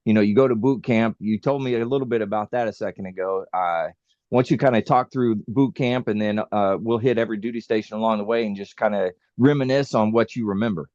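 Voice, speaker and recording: A man hosting a podcast interview, giving steady encouragement and prompting his guest. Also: on the low side, fairly quick, no room sound, highs cut off. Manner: encouraging, steady, frequent backchannels. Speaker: podcast host.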